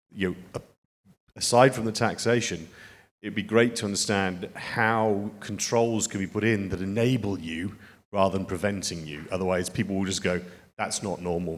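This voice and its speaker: A man in the audience asking a question from the floor, quick and wry. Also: low voice. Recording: long hall reverb.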